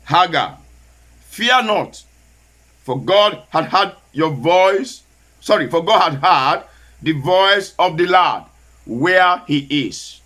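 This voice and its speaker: Emphatic Nigerian preacher with a bright voice. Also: male voice, high-pitched. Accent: Nigerian, Yoruba. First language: Yoruba. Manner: slow, emphatic repetition of key phrases; switches into Yoruba. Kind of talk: sermon.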